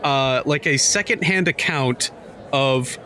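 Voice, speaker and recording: A man's voice: medium pitch, slow, pushed and bright, slight background noise. A man playing a tabletop game, rambling through explanations with fillers and laughs. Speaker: player. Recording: music bed under the voices.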